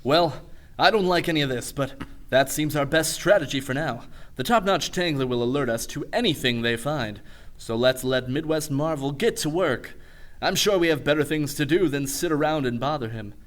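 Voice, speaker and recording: A man's voice: fairly high pitch, normal speed, close to an everyday voice. A man playing a businesslike superhero team leader in a radio play, formal and decisive. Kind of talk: comic radio play acting.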